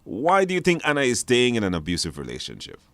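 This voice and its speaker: A man's voice: medium pitch, wildly swooping pitch, fast, fairly bright tone, no echo. A man casually hosting a live radio call-in show, chatty and encouraging, speaking in a studio.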